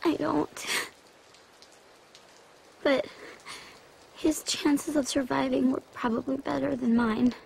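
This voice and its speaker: High-voiced earnest young game heroine. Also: female voice, steady tone, slow, creaky, pauses often, a little mellow, slight background noise, full character voice, low-quality recording. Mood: earnest, resolute. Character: earnest, emotional young woman in a video game. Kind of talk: dramatic English dub voice acting.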